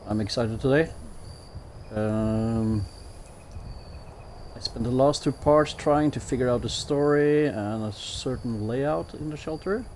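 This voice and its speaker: A man's voice: medium pitch, darkish tone, a little hiss or hum. A man with a Norwegian accent gives easygoing, chatty commentary as a game streamer, with self-mocking jokes. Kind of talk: casual commentary while playing a building game.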